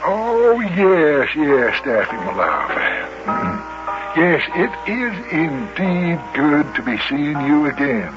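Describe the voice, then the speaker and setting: Pompous, flustered old-radio mayor. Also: male voice, fairly high pitch, very animated, takes it slowly. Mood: smitten. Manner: hesitant, gushing, chuckling. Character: pompous, flustered, sweet-talking middle-aged male small-time mayor. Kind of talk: 1950s children's radio acting. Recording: muffled old radio recording with music.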